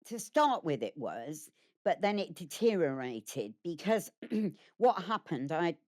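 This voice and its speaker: A woman's voice: lowish voice, very animated, measured pace, a little mellow. A woman giving a candid documentary interview about her experiences. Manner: plain candid recounting.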